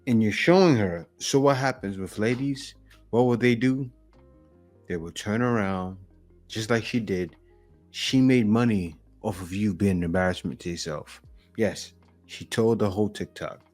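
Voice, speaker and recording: A man's voice: lowish voice, moderate pace, a little mellow, soft background music. A man hosting a reaction video, giving blunt, opinionated commentary on a clip he is watching. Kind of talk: casual commentary.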